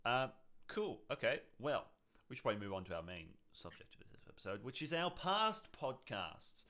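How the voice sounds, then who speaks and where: A man's voice: mid-range voice, very animated, normal speed, sharp tone. A man in his twenties co-hosting a casual live-streamed podcast, chatting informally. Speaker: podcast co-host.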